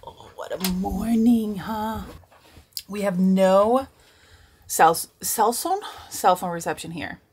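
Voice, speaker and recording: A woman's voice: lowish voice, slight background noise. A woman, chatting casually to camera as a vlogger in a small boat cabin, rambling and correcting herself as she goes. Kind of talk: casual vlog chat to camera.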